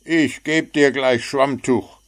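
A man's voice: medium pitch, big pitch swings, slow, voice pushed up, full character voice. A man voicing a grumpy, easily offended little gnome who snaps and grumbles, in a storybook reading. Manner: gruff, irritable.

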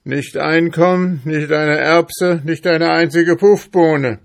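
A man's voice: fairly high pitch, unhurried, voice pushed up. A man voicing a grumpy, stingy male hamster farmer in a storybook, blustering and grumbling indignantly. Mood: furious, indignant.

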